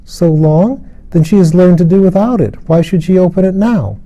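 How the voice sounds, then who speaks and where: A man's voice: high voice, expressive, moderate pace, dark tone, pitched above the performer's own voice. A man voicing innocent, curious little fairy-tale children in a storybook, with earnest, wondering, childlike phrasing.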